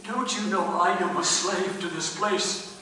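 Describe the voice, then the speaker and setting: An actor playing a sickly, agitated, gloomy master of a gothic house in a radio play, halting, theatrical and fretful. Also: male voice, sits high, fairly even intonation, sharp tone. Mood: dread, despair. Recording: very echoey hall, distant mic, faint noise.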